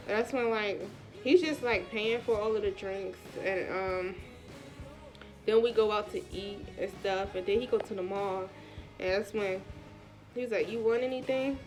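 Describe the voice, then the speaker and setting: An American woman speaks at a medium pitch with a congested, stuffed-up sound. She tells her story like a casual vlogger, in colloquial phrasing, slipping into quoted dialogue. Soft music plays faintly behind her voice.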